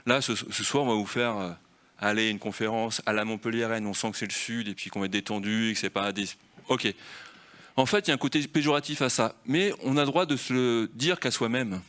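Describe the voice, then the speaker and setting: A man's voice: on the low side, a little fast, fairly bright tone. A man leading a festival round table on film, talking freely and explaining through rhetorical questions.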